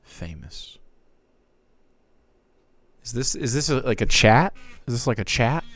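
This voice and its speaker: A man streaming, reading fan fiction aloud in a theatrical, comic way, shifting between hushed and loud. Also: medium pitch, animated, slow, no echo.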